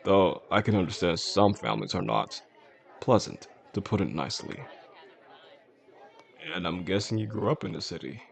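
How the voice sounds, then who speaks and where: Charming boss on a dinner date, played by a male actor: low, creaky voice, soft-spoken, flirtatious, intimate audio drama. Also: lively intonation, moderate pace, pauses often. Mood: curious, gentle. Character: charming attentive boss courting his employee, quietly possessive man. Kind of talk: intimate audio roleplay, addressing the listener. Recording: faint restaurant crowd behind the voice.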